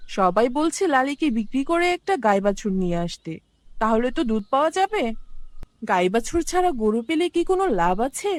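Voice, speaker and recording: A woman's voice: on the high side, very animated, moderate pace, dry recording. A woman voicing a nagging, practical farmer's wife, scolding and mocking, in a children's cartoon.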